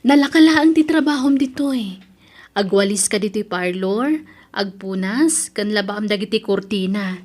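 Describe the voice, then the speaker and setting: A woman voicing a brisk friend who explains a job at a beauty parlor in an audio drama. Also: medium pitch, lightly acted.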